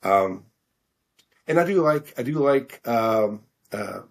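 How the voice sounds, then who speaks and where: A man's voice: medium pitch, animated, takes it slowly, gravelly. Middle aged american guy being interviewed, casual, kinda hesitant and self-deprecating, stumbles over his words. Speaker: author interview guest.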